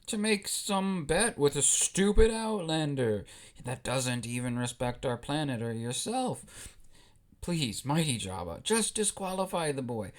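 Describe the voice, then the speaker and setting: A man's voice: on the high side, expressive, a little slow. A man voicing a wheedling, aggrieved alien junk dealer in a retold story, pleading and insistent.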